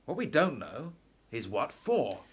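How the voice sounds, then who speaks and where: A man playing an aging, protective Victorian professor in a radio drama, measured and authoritative. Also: medium pitch, big pitch swings. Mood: cautious. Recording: roomy, set back from the mic.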